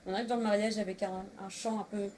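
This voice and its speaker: A woman's voice: mid-range voice, flat delivery, quick pace, darkish tone. A woman of thirty-two from northern France, talking casually as a guest in a discussion. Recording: faintly noisy room recording.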